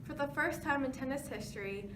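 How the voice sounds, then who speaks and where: A woman's voice: high-pitched, a little fast, close to an everyday voice. A woman playing a pioneering 1920s female tennis champion, answering interview questions from a rehearsed script. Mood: matter-of-fact. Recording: noisy, echoey room, distant mic.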